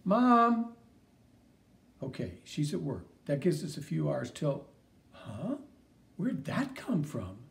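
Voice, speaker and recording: A man reading an audiobook, voicing an eager, doubtful young boy in a higher, questioning voice. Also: wildly swooping pitch, slow pace, vocal fry, pauses often, far from the mic, heavily acted. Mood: boyish.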